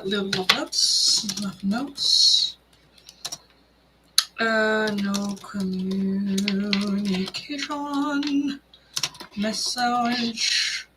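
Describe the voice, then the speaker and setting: A woman's voice: fairly high pitch, noisy background. A woman hosting a casual tarot live stream, chatting in a bubbly, rambling way with lots of fillers.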